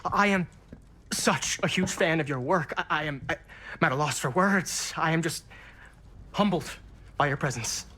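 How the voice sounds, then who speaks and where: An actor playing a gushing, wealthy, chatty film superfan in a video game cinematic, with a fawning, theatrical manner. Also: male voice, a little high, moderate pace, long pauses, pushed and bright. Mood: starstruck.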